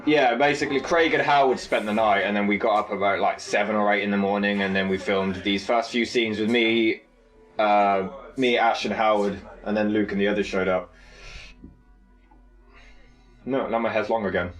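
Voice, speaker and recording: A man's voice: on the low side, steady tone, fairly quick, a bit bright, poor audio. Man chatting casually through a film commentary. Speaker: filmmaker and actor on a cast commentary.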